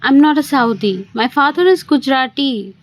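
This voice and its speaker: A woman's voice: high-pitched, animated, normal speed, no room sound. A woman reading the part of a spirited, teasing young fiancée in an amateur audio play. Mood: brisk.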